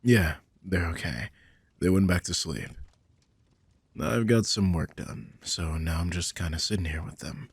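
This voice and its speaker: Husky, low, weary male guardian, acted by a man. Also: a little slow, dry recording, full character voice. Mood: weary, worried. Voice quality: husky, breathy, slipping into whispers. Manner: gentle, hesitant, sighing delivery. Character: guilt-ridden, tender male supernatural guardian, weary and protective. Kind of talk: intimate roleplay audio drama.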